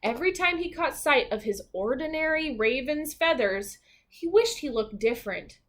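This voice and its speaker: A woman teacher reading a children's storybook aloud expressively, pausing to comment and ask questions. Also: high voice, animated, fairly bright tone.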